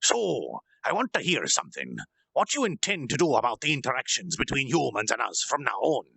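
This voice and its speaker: A man's voice: medium pitch, sing-song, fairly quick, gravelly, sharp tone, husky, no echo, pitched above the performer's own voice, heavily acted. A man voicing a blunt, questioning male dwarf craftsman in a fantasy story reading. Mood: curious.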